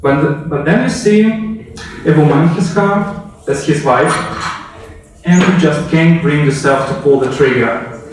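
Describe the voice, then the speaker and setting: A man's voice: a little high, unhurried, background noise. Echoey, distant man giving a storytelling speech. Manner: rhetorical, addresses listeners with questions. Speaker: public speaker telling a historical story. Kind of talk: storytelling speech with dramatic second-person narration. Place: echoey indoor room, recorded from a distance. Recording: very echoey room, distant microphone.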